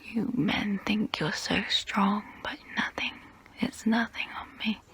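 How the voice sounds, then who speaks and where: Woman acting as centuries-old female vampire, breathy whisper, unhurried pace, intimate ASMR roleplay, guilty, wistful, tempting. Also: medium pitch, steady tone, fairly bright tone, heavily acted. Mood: tempting, mischievous. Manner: slow, intimate, deliberate delivery.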